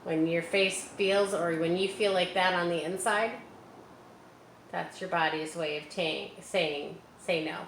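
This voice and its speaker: A woman reads a children's picture book aloud at a slow, unhurried pace. She stretches key words expressively and adds encouraging, chatty explanations for young listeners. The room echoes around her voice, with a little background noise. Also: on the low side, fairly even intonation, fairly bright tone. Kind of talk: read-aloud storytelling with chatty asides. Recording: roomy echo with background noise.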